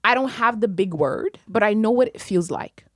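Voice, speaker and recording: A woman's voice: mid-range voice, expressive, moderate pace, no room sound. A young woman whose first language is Arabic, speaking in a vivid, poetic, reflective way in a casual studio conversation. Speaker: poet and podcast guest. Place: small studio room. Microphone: studio mic on stand, headphones.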